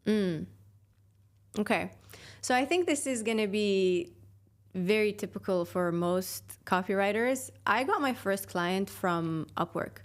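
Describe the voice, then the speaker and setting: A woman in her twenties from Sweden, a podcast guest talking casually and thoughtfully about her path, with fillers and soft laughs. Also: medium pitch, expressive, measured pace. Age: 20s. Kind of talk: casual interview chat.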